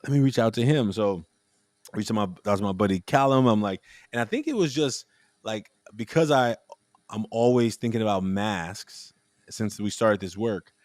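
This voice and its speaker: A man with a somewhat low voice hosts a relaxed conversation and tells a long story in a loose, rambling way, often restarting his sentences. The sound is close and dry, with no room around it. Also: moderate pace, no echo. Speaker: podcast host. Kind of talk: casual conversational interview.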